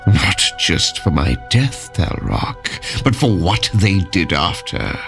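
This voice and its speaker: An actor playing a vengeful tyrant king returned from death, cold and menacing, in a dark fantasy audio drama, brooding and grandiose. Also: low-pitched, sing-song, creaky, heavily acted. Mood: cold fury. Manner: slow, brooding, grandiose pronouncements. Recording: music under the voice. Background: dramatic music.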